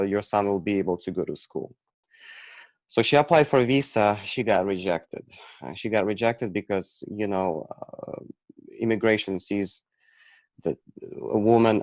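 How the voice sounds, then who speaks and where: A man, talking as a guest about his own past in a halting way, with many restarts and fillers. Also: lowish voice, darkish tone, dry recording, lo-fi sound. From Ukraine. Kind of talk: personal storytelling.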